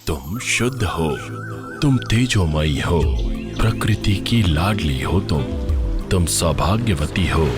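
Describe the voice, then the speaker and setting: A man delivering scripted commercial and narration voice-over in a polished, deliberate, dramatic way. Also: low voice, lively intonation. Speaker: voice-over artist. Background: music bed.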